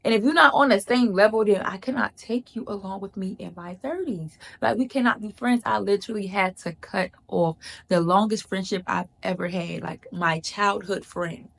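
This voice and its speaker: A chatty woman gives life advice straight to camera. Her voice sits at an ordinary middle pitch. She is emphatic and repeats key lines, often calling the listener "girl" or "baby.". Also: moderate pace, creaky, hardly any pauses, a bit bright. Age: 30s. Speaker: vlogger. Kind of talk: casual advice talk to camera.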